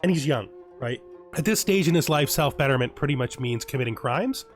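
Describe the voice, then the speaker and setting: A man's voice: mid-range voice, animated, fairly quick, soft background music. A man hosting a let's play, thinking aloud as he narrates a strategy game, with many fillers and restarts. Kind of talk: casual game commentary.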